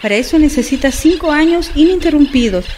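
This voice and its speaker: High-voiced, earnest woman confidante. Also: lively intonation, brisk, darkish tone, a little hiss or hum. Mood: concerned, advising. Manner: earnest, cautioning. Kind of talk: radio soap opera acting.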